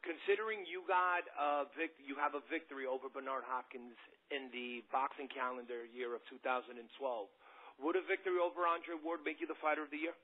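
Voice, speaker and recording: A man's voice: fairly high pitch, slightly rough. A man working as a boxing reporter, asking questions casually on a conference call. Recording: tinny narrow phone line.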